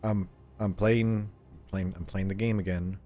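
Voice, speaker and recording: Stuffy, low-voiced male streamer. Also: steady tone, measured pace, mellow, faint music behind. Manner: rambling, easily sidetracked, clears throat often. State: stuffed up, short of breath. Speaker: game streamer. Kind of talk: casual gameplay chat.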